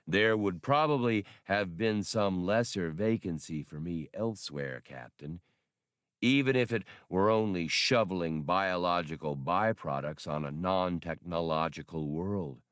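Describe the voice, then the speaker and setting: A man reading an audiobook, voicing an old male labor droid who speaks in a polite, formal, slow drawl. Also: deep voice, smooth, no room sound, studio quality. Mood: calm. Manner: formal, deferential.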